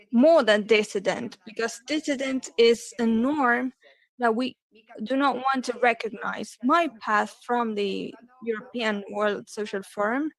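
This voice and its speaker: A woman interpreting a panel discussion live, her voice set a little higher than most. She moves slowly and haltingly, pausing often and correcting herself mid-phrase. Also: poor audio. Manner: halting, with pauses and self-corrections. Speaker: simultaneous interpreter.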